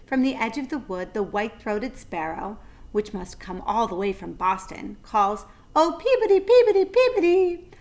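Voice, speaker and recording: A woman narrating a children's story with deliberate, expressive phrasing. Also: mid-range voice. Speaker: read-aloud narrator.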